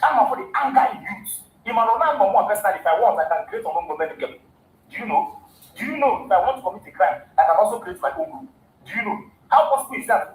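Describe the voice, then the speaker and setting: A man from southeastern Nigeria speaks with a Nigerian accent in an animated, repetitive way, switching between languages as he presses his case. His voice is high, and it echoes around a room with faint noise behind. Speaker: youth leader speaking in a clip. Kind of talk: impassioned speech mixing Igbo and English.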